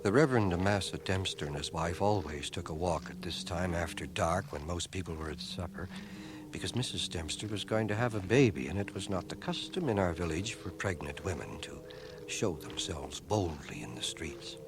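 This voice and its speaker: An actor playing a grave man recounting his village boyhood in precise, measured radio narration. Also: low-pitched, expressive, background noise. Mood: reflective, precise. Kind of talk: scripted radio drama narration. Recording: old cassette transfer of radio drama.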